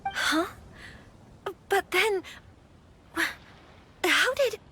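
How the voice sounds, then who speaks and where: Game role: troubled young noblewoman, high-pitched and clear-edged, formal, hesitant, earnest. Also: very animated, extremely slow, pushed and bright, full character voice. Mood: startled, confused. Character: troubled, polite young noblewoman engaged to be married. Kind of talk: anime-style game voice acting. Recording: music behind the voice. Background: game music.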